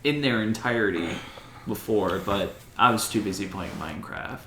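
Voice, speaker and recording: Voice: low-pitched, normal speed, fairly bright tone. A podcast co-host chatting casually about movies and games, swearing and sounding mock-exasperated. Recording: roomy echo with faint noise.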